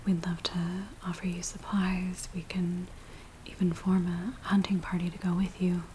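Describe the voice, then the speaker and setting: A woman playing an anxious, earnest villager in a hushed, breathy, hesitant ASMR roleplay voice. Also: fairly low pitch, normal speed, natural voice. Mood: hesitant, hopeful. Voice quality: breathy, whispery soft voice. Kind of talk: soft-spoken ASMR roleplay. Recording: steady rain noise behind close soft voice. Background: rain ambience.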